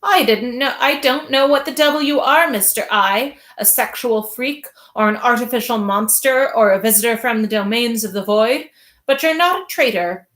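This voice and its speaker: Lady putting on a shrill paranoid king, snarly and bitter, spits insults, kinda high, echoey room. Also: normal speed, a bit bright, close to an everyday voice. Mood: ranting. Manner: ranting, snarling, spitting out insults. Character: unhinged arrogant male king, bitter and paranoid.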